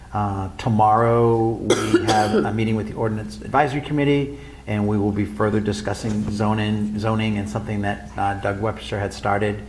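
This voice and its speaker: Low, flat-voiced man chairing a meeting. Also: slight background noise, rough recording. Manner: earnest, informal, repeats himself. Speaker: town council chair. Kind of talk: public meeting remarks. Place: town council meeting room.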